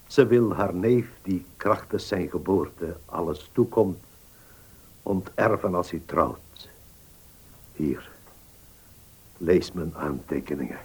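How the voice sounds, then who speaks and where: Radio drama: upright old notary, deep and slow, solemnly indignant, frail in delivery. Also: male voice, a little mellow, breathy edge, full character voice. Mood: indignant, faint. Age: older. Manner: halting, solemn. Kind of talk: Dutch radio play acting.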